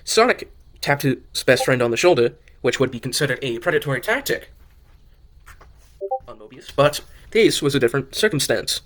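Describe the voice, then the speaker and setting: A man's voice: medium pitch, expressive, normal speed, fairly bright tone. A man narrating a fan audio drama as an amateur, often stumbling over and repeating words as he reads. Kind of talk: amateur story reading.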